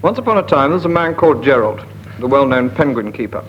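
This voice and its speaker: A man's voice: mid-range voice, expressive, fast. A man improvising a comic story as a panel show contestant, on a muffled, noisy broadcast recording. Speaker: comedy panellist. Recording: muffled broadcast with loud background noise.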